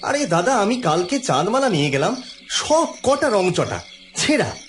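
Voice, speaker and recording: A man's voice: high-pitched, very animated, moderate pace, fairly bright tone, faint music behind. An actor playing an irritable, indignant male office worker in an audio drama, complaining and argumentative, sometimes stammering. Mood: angry, indignant.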